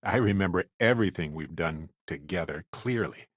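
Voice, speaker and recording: A space adventurer companion from a video game, warm and wry in temper. His voice sits on the low side and moves freely up and down as he chats. The delivery is conversational and expressive, in a very dry recording with no room around it. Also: moderate pace, husky, no echo. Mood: tender, sincere.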